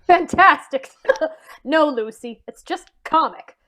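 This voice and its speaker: A woman acting in an old-time radio mystery as an indignant, scornful gentleman protesting an accusation. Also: high voice, lively intonation, slow, heavily acted.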